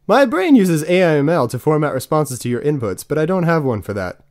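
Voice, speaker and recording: A man's voice: fairly high pitch, very animated, a little fast, darkish tone, no echo, studio quality, voice pushed up. A man in his twenties voicing an oblivious, self-assured chatbot god for an audiobook, with stilted, chirpy replies.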